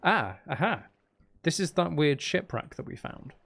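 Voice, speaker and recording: A man's voice: fairly high pitch, big pitch swings, unhurried, gravelly, a bit bright. A man hosting a casual gaming livestream, chatting in a playful, teasing, rambling way and sounding a little tired. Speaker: livestream host.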